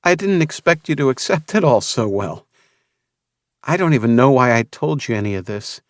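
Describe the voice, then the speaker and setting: A man's voice: mid-range voice, lively intonation, moderate pace, dry recording, clean studio sound, voice pushed up, close to an everyday voice. A male narrator voicing a guarded, streetwise young woman who is tempted by money. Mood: wary. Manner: cynical, guarded.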